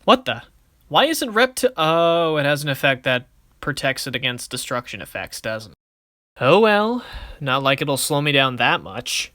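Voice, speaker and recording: A man's voice: fairly high pitch, very animated, moderate pace, pushed and bright, no echo, studio quality. A man playing a cocky card duelist in an anime-style series, speaking in a theatrical, smug, declamatory way. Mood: surprised, dismissive. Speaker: voice actor in a fan dueling series. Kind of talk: anime-style dramatic battle dialogue.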